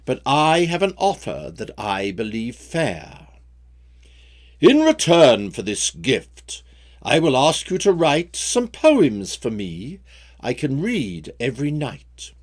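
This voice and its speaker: A man plays an aged storybook king, a stern but kindly and lonely father. He speaks formal rhymed lines at a slow, measured pace, with lively rises and falls in pitch. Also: full character voice. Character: tall old king, stern yet generous, lonely father.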